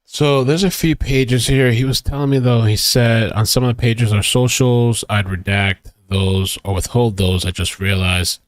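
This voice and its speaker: A man's voice: medium pitch, steady tone, moderate pace, hardly any pauses, no echo. A man hosting a live stream, commenting casually on news and reading articles aloud in a rambling, informal way. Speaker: streamer host reacting to news.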